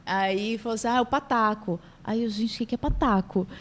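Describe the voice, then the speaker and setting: A Brazilian woman with a fairly high voice hosts a relaxed podcast conversation. She laughs often and keeps the chat lively and animated. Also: fairly quick. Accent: Brazilian Portuguese. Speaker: podcast host. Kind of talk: casual chat.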